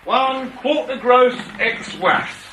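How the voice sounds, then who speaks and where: An actor playing a cheeky, grumbling small-time crook in a roomy, noisy recording of a radio drama. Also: male voice, extremely high pitch, takes it slowly, sharp tone, heavily acted. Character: cheeky, grumbling small-time ex-burglar, little packing hand.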